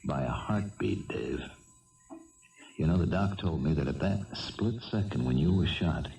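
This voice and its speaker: An actor playing a reassuring police lieutenant in a 1970s radio drama, measured and matter-of-fact. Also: male voice, rumbling low, vocal fry, warm tone, roomy sound, distant mic, exaggerated voice, rough recording.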